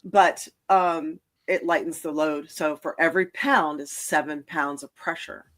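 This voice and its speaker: A woman hosting a casual talk show, chatty and quick to jump in with asides. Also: low voice, fairly even intonation, a bit bright. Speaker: host. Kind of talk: casual chat.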